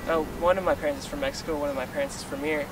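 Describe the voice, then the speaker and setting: Earnest young man amid loud street noise. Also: on the high side, steady tone, racing, distant mic. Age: young adult. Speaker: student interviewee. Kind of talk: casual street interview.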